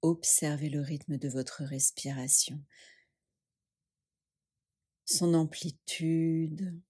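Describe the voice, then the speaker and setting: A woman leading a guided yoga nidra meditation, speaking gently and soothingly with long pauses. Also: deep voice, fairly even intonation, slightly rough.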